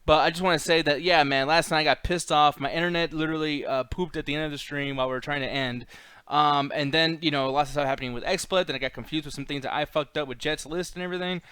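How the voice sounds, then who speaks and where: Fast-talking man with a clear, higher voice. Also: fairly even intonation, pushed and bright, no echo. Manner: blunt, joking, profane, uses slang. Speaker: host of a live stream. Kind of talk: casual chat.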